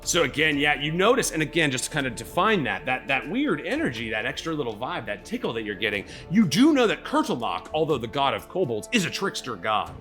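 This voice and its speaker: A man's voice: mid-range voice, sing-song, a little fast, hardly any pauses, fairly bright tone, faint music behind. A man improvising narration as the game master of a live tabletop game, casual, slangy and jokey.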